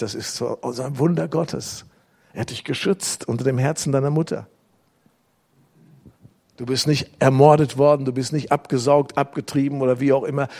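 A man's voice: medium pitch, a little mellow. A middle-aged man preaching a sermon to a congregation, acting out prayers and quotes as he tells stories. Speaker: pastor. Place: church hall with congregation.

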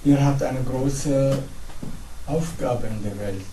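A middle-aged man from Syria with an Arabic accent, a pastor giving an earnest, halting evening talk to a congregation. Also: mid-range voice, mellow, soft background music. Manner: earnest, halting, with pauses and fillers. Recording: echoey hall, distant mic, faint noise.

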